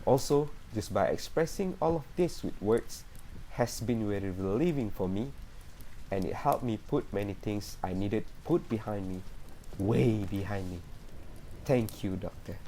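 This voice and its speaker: A man's voice: fairly low pitch, measured pace, warm tone, slight background noise, natural voice, low-quality recording. A man playing an earnest, troubled therapy patient giving a confessional monologue in an amateur radio drama. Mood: pained, resolute.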